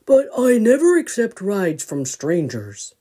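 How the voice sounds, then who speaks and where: A woman voicing a mild, absent-minded father bear in a storybook, hesitant and deliberate. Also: medium pitch, wildly swooping pitch, warm tone, breathy edge, heavily acted. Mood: polite, stubborn.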